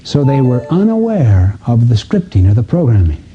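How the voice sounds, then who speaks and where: A man's voice: medium pitch, wildly swooping pitch, normal speed. A man speaking as a motivational seminar teacher, emphatic and full of rhetorical questions. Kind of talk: live self-help seminar talk, teaching with acted-out dialogues. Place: seminar room before an audience. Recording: dull, muffled lo-fi audio.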